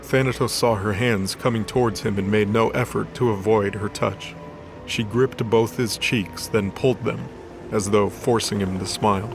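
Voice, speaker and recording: Low-voiced man narrating over music. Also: steady tone, moderate pace. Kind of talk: scripted dramatic story narration.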